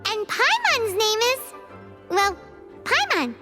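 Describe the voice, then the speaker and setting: A woman voicing a tiny, cheeky, excitable floating fairy girl in a video game, speaking of herself in the third person. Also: very high-pitched, slow, heavily acted. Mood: chirpy, curious. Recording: game music behind.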